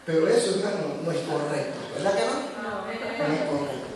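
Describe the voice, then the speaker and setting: High-voiced pastor preaching in echoey hall. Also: male voice, expressive, brisk, a little mellow, distant mic, slight background noise. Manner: conversational preaching, addresses listeners directly. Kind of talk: sermon. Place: church hall.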